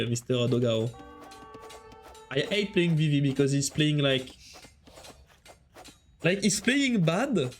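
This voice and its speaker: A man with a French accent casting a strategy game on a live stream, chatting with viewers between plays. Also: sits high. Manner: chatty, answers chat while casting.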